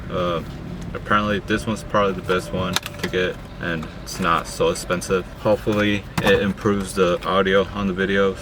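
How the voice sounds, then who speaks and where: A young man with a deep voice in a casual vlog, talking plainly while working on a truck engine outdoors. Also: background noise. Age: young adult. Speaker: car vlogger. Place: in a pickup cab and outdoors at an engine bay.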